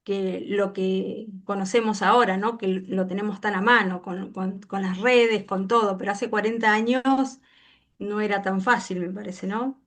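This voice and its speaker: A woman's voice: mid-range voice, fairly even intonation, normal speed. A woman hosting an interview, asking questions with hesitant restarts. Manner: hesitant, restarts, warm backchannels. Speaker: interviewer, event host.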